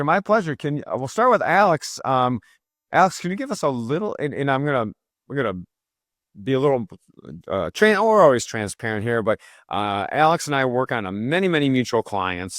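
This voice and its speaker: A man's voice: mid-range voice, a bit bright, no echo, studio quality. A man hosting a podcast interview, talking eagerly and often restarting and correcting himself mid-sentence. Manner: enthusiastic, restarts and self-corrections. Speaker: podcast host.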